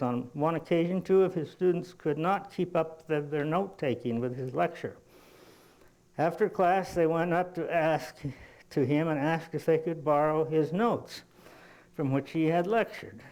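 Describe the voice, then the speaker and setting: A male lecturer speaks in a slightly high-pitched voice. He tells anecdotes to his listeners in a measured, explanatory manner, halting now and then as he puts his thoughts together. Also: darkish tone, poor audio. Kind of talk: lecture telling anecdotes to an audience.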